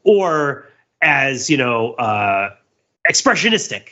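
Male podcast host, wordy explainer in casual discussion, bright forceful tone, slightly dull lo-fi audio. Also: medium pitch, sing-song, slow pace. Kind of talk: animated casual pop-culture discussion.

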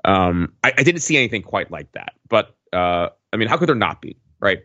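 Middle-aged male panelist, deep voice, hesitant casual storyteller, close dry but slightly muffled sound. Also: very animated, brisk, bright, forceful tone, dry recording. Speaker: podcast panelist.

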